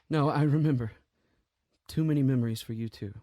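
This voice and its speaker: An actor playing a grieving, guilt-ridden man in an audio drama, speaking in a halting, hushed, earnest way with a husky voice. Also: mid-range voice, no echo, clean studio sound, lightly acted. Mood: tender, subdued.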